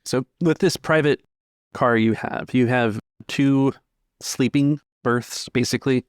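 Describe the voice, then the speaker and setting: A man's voice: mid-range voice, a little slow, no echo, studio quality. A man narrating a tabletop roleplaying game as its game master.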